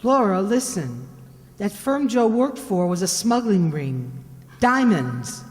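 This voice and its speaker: A woman playing a detective's inquisitive, loyal and excitable assistant in an old-time radio drama, with dramatic, questioning delivery. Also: medium pitch, slow pace. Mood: concerned. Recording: reverberant hall, stage-like sound.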